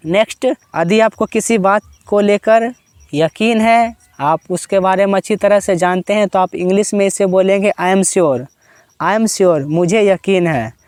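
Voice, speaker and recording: An Indian man with a high, smooth, clear voice gives a basic spoken-English lesson, explaining in Hindi. He stretches each example sentence out slowly and says it twice so learners can repeat it. The sound is dry and close. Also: a little mellow, no echo. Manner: slow, drawn-out model sentences repeated twice for practice. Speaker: English teacher.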